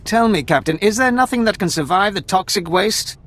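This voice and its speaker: An Imperial major in a video game. He is affable and decisive, with a fairly high voice that moves freely up and down as he muses. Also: a little fast. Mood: inquisitive. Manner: animated, musing. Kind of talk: video game cutscene acting.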